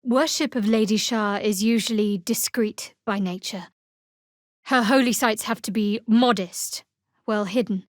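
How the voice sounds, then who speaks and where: A woman playing a guarded, sardonic young cleric of a dark goddess in a fantasy video game, speaking in a dry, measured way. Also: medium pitch, dry recording, close to an everyday voice. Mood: awed, musing. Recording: dry close studio recording.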